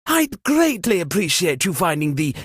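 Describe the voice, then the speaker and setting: An actor playing an excitable, pompous aristocrat host and amateur sleuth in a cartoonish party game, with a fussy, genteel, theatrical delivery. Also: male voice, high voice, normal speed, breathy edge, dry recording, studio quality, heavily acted. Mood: genteel, coaxing. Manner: theatrical, genteel, fussy, with old-fashioned exclamations.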